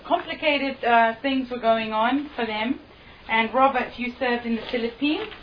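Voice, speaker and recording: A woman hosts a small gathering, introducing each speaker in an easy, informal manner with a few fillers. Her voice sits a little high. The recording is somewhat dull and lo-fi. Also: moderate pace, slight background noise. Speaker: event host.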